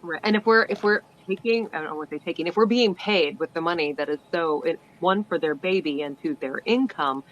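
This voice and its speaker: A panel guest speaking thoughtfully in a casual chat, often trailing off quietly at the ends of sentences. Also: mid-range voice, fairly quick, hardly any pauses, dull audio.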